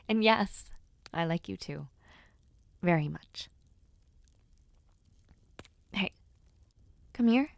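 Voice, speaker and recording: A woman voicing a playful, affectionate young noblewoman knight in an audio roleplay, speaking softly and teasingly and often dropping into whispers. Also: medium pitch, expressive, drawn-out words, gravelly, long pauses, no echo, clean studio sound, heavily acted. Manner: soft, teasing and intimate, drops to whispers.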